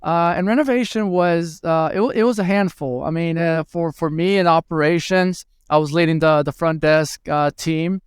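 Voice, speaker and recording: Smooth-voiced man from Venezuela. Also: a little high, steady tone, normal speed, few pauses, dry recording, studio quality. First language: Spanish. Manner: hesitant, many fillers and restarts. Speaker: guest, hospitality tech executive. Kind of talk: conversational interview answers.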